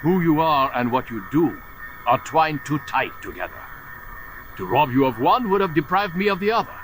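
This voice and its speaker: An actor playing a calculating older master assassin in a video game, philosophical and condescending in a theatrical, oratorical way. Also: male voice, fairly high pitch, sing-song, moderate pace, a bit bright, soft background music, poor audio. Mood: calm menace. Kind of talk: dramatic video game cutscene acting.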